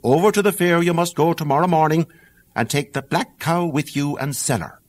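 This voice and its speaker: A man with an Irish accent voicing a poor, anxious old Irish mother in a read-aloud fairy tale, with a raised, fretful pitch. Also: moderate pace, natural voice. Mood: scolding.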